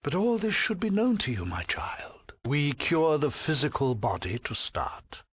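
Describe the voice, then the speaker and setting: An actor playing a haughty, sinister cult priest in a video game, pompous and condescending, with silky menace beneath false piety and drops into menacing murmurs. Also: male voice, a little high, wildly swooping pitch, a little slow, fairly bright tone, breathy edge, full character voice. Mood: pious, lofty. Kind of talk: theatrical video game voice acting.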